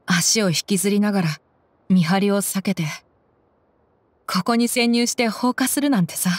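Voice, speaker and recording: A woman's voice: mid-range voice, normal speed, lightly acted. An actress playing a cool-headed, tough young mercenary woman in a video game, with a blunt, commanding manner. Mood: pensive. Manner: blunt, commanding, tomboyish speech. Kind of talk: Japanese game voice acting.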